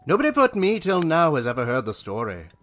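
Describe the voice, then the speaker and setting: A man playing a grave gentleman storyteller in an audio drama, speaking in a formal, theatrical way. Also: fairly high pitch, huge pitch swings, brisk, soft background music. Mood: grave, haunted.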